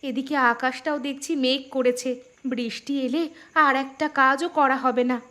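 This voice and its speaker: A woman voicing a gentle, anxious village mother in a cartoon fairy tale, polite and homely. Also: high-pitched, fairly even intonation, moderate pace. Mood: homely, nudging. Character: gentle, worried village mother, hospitable and poor.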